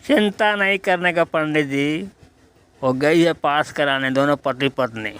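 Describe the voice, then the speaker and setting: A man's voice: a little high, unhurried, fairly bright tone, smooth. A man voicing a priest's crafty companion in a cartoon, talking in a colloquial, reassuring way. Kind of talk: Hindi cartoon story voice acting.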